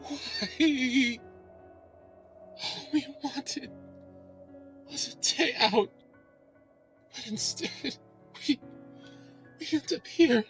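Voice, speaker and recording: A man's voice: very high voice, fairly bright tone, pitched above the performer's own voice, exaggerated voice. A man voicing a grieving, bewildered young male pony in a dramatic fan fiction reading, with music behind him. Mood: anguished, crying out. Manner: anguished, slow, dramatic delivery.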